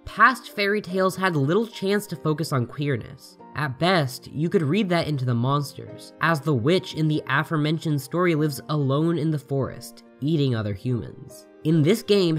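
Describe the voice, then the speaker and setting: An articulate reviewer narrates a scripted essay in a mid-range, androgynous voice. The intonation moves around in a lively way. Soft music plays underneath the narration. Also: medium pitch, normal speed, few pauses. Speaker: video game reviewer. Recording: voice over background music.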